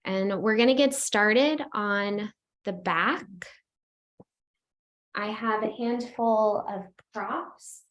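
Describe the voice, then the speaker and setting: Slow-paced woman in an echoey room. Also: medium pitch. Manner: gentle, unhurried, invitational. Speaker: yoga teacher. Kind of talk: slow guided restorative yoga instruction. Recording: roomy echo, distant microphone.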